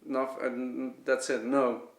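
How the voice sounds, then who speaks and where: Halting Dutch-accented man in an echoey room. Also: medium pitch, flat delivery. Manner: halting, searching for words, restarting phrases. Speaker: video maker giving a personal testimony. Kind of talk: unscripted personal testimony to camera. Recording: echoey, roomy sound.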